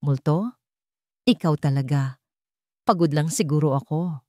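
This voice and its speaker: A woman's voice: low voice, a little slow, darkish tone, no room sound, studio quality. Woman acting a nervous, frightened woman. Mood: shaken. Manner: nervous, hesitant. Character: frightened woman pretending all is normal.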